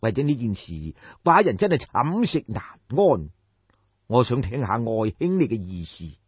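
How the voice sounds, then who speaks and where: A man's voice: medium pitch, big pitch swings, a little slow, breathy edge, no room sound, muffled recording, pitched below the performer's own voice. A man voicing a shrewd, commanding ancient king in an audiobook, lecturing in a pompous, rhetorical way. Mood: grave, commanding. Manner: pompous, rhetorical, sighing and explaining at length. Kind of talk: dramatised audiobook storytelling.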